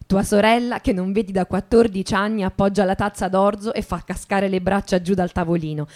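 A woman's voice: medium pitch, steady tone, a little fast, darkish tone. A woman performing a dramatic literary reading for radio theatre, narrating and acting out dialogue.

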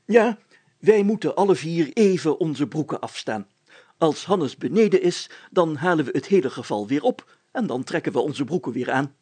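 A pompous village mayor from a storybook, acted out by a male reader. He is proud and bossy, with a raised, husky voice. His delivery is grand and commanding, as if every order were a decree. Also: fairly high pitch, expressive, normal speed, darkish tone, pitched above the performer's own voice. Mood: angry, bossy.

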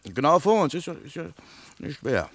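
An actor playing a grumbling, bossy lost soldier in a radio play, with coarse, needling talk. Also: male voice, a little high, sing-song, moderate pace, a bit bright, exaggerated voice. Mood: insistent. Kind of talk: German radio play dialogue.

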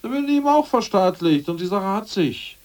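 A man playing a crude, scheming ousted party functionary in a radio play, boastful and plotting, muttering one moment and loud the next. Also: sits high, expressive, brisk, far from the mic, low-quality recording. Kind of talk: 1980s radio play acting.

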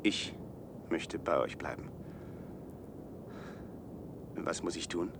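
A man's voice: low voice, slow pace, gravelly, noisy background, exaggerated voice. An actor playing a troubled, hesitant man on an expedition in a 1970s German radio play. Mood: hesitant, resolved. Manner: hesitant, earnest.

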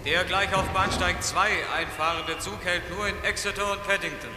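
Man voicing a station announcer, high voice, echoey hall, distant, noisy. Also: steady tone, normal speed. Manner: loud calling announcements. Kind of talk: radio drama acting.

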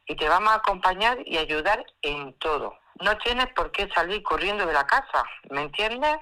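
A woman playing a calm, supportive housing activist advising a friend in an audio drama. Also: lowish voice, moderate pace, low-quality recording. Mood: calm, reassuring.

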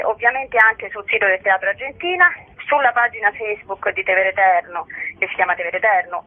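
A woman being interviewed as a guest on the radio, explaining things in an informal, somewhat hesitant way over the phone. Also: medium pitch. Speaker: exhibition curator interviewed as guest. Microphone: telephone. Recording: muffled, band-limited telephone call.

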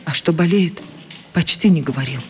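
A woman playing a subdued, kind, grieving woman in a radio play, in a hushed, breathy near whisper. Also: sits low, moderate pace, natural voice. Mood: quiet grief.

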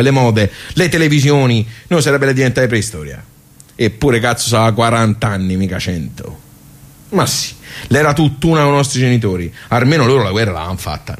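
A man's voice: mid-range voice, natural voice. An actor playing a bitter, resigned 78-year-old working-class man with a Tuscan accent, rambling colloquially in a radio play. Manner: rambling, colloquial, swearing, world-weary. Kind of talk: radio theatre monologue, colloquial.

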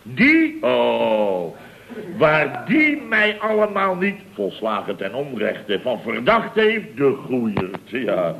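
A self-important Dutch building contractor in an old radio comedy. He blusters and boasts, repeats himself as he stammers along, and chuckles at his own mock indignation. His voice sits at a middling pitch. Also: huge pitch swings, slow pace, gravelly, dull audio, exaggerated voice. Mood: smug, amused.

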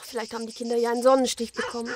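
Radio drama: anxious, motherly fusser female actor, high voice, full of concern. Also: little pitch movement, rapid-fire, slight background noise, natural voice. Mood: concerned, soothing. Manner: exclaiming, concerned. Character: fussing, anxious, kindly woman looking after children. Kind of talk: German radio play acting.

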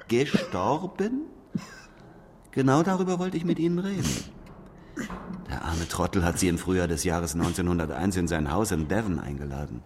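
An actor in a German radio drama playing a shrewd, sardonic escape artist, a needling skeptic with theatrical pauses. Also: male voice, low voice, wildly swooping pitch, a little mellow, a little hiss or hum. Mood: accusing, sardonic.

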